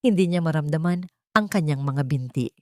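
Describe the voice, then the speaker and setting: A woman narrating a scripted romance story in an emotive, measured storytelling manner. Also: deep voice, expressive, mellow, dry recording, studio quality.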